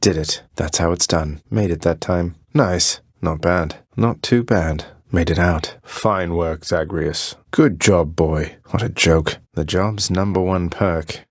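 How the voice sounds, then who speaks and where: A man's voice: sits low, slow pace, few pauses, slightly rough, no echo, studio quality, exaggerated voice. A man playing a young, rebellious prince of the underworld in a video game, wry, understated and casually courteous. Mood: pleased, then dry refusal. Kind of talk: video game character voice lines.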